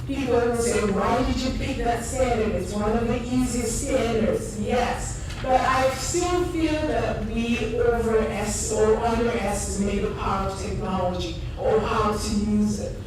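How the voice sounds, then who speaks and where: A woman with a Cameroonian accent gives a lively, joking conference talk at a higher pitch. Her voice sounds distant, in a very echoey room with clear background noise. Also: a little slow, few pauses. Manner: lively and chatty, joking with the audience. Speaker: conference presenter. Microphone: handheld or stand microphone in a room.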